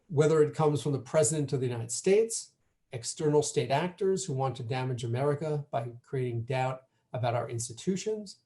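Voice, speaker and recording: A man finishing a prepared speech, emphatic and deliberate. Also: mid-range voice, dark tone. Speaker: conference speaker. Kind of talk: closing lines of a prepared speech.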